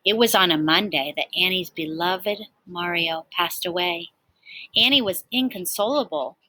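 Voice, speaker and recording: Bright-voiced woman reading a storybook. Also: lowish voice, normal speed, no echo, rough recording. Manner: animated storytelling with asides to young listeners. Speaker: teacher reading a picture book aloud to children. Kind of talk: expressive storybook read-aloud.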